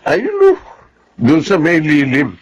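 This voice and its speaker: A man's voice: a little high, wildly swooping pitch, takes it slowly, highs cut off, a little hiss or hum, full character voice. An actor playing a humble, good-natured rural man in a Tagalog radio drama, speaking casually and modestly with a Filipino accent.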